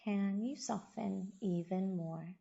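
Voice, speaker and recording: A woman guiding a body scan meditation as a yoga teacher, giving gentle, soothing instructions with long pauses. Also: mid-range voice, creaky, muffled recording.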